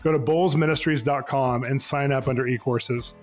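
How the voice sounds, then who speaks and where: A man hosting an interview show, reading a scripted intro and then chatting quickly and enthusiastically with guests. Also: mid-range voice, steady tone, soft background music. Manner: fast-talking, enthusiastic. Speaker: podcast host.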